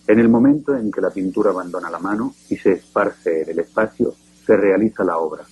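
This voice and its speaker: A man narrating a documentary in a grave, deliberate scripted voice. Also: deep voice, a little mellow, breathy edge, low-quality recording.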